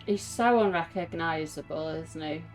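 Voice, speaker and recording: A woman's voice: sits low, moderate pace, faint music behind, poor audio. A woman giving casual, reflective and sympathetic commentary while watching a video game. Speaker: streamer reacting to a video game.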